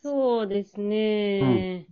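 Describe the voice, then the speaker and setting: A woman's voice: medium pitch, very slow, warm tone, clean tone. A woman chatting casually as a guest in a round-table talk about amateur acting. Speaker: amateur drama performer, guest. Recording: dull lo-fi audio.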